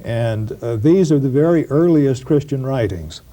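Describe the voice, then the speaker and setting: A man with a mellow, soft-edged voice lays out history in an unhurried lecture style. He stops and starts often, with plenty of fillers and repeated words. It sounds like a 1990s broadcast interview. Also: mid-range voice, animated. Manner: halting, with many fillers and restarts. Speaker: professor being interviewed. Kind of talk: explanatory interview talk.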